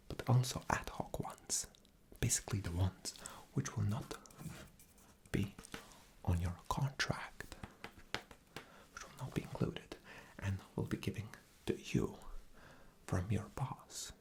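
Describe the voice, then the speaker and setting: A man plays a rude, arrogant male boss in an ASMR interview roleplay. His voice is low and mostly whispered, with a gravelly creak. He comes across as sarcastic, impatient and demanding. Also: slow pace, long pauses. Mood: condescending.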